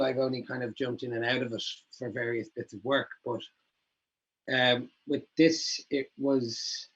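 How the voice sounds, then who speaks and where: Husky actor on a muffled call. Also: male voice, medium pitch. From Ireland. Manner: animated, rambling, laughs easily. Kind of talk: festival Q&A answer.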